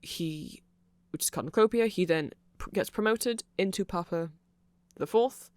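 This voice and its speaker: A performer voicing a nerdy, apologetic vampire shopkeeper in a casual ASMR roleplay, rambling hesitantly and excitably to a friend. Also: mid-range voice, measured pace, smooth, no room sound, lightly acted. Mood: eager explaining.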